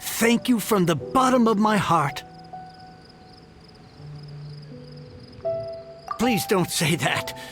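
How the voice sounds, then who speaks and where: Earnest, high-voiced humble man. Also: lively intonation, measured pace, a bit bright, faint music behind. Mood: grateful. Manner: effusive, earnest. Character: earnest, humble male heir of fallen house. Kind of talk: English video game voice acting.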